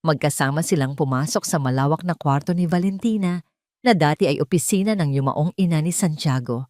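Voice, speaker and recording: A woman's voice: low-pitched, brisk, a little mellow, no echo, studio quality. A woman with a Filipino accent narrating a scripted dramatic story.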